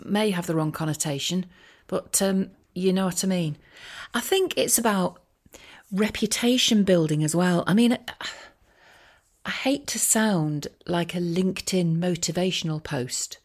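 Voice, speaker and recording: A woman with a slightly low voice shares a personal update in a chatty, informal way. She wanders off her point and pokes gentle fun at herself, with a wry, ironic touch. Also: measured pace. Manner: rambling, self-deprecating asides. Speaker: voiceover artist and career coach sharing a personal update. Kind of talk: casual, chatty monologue.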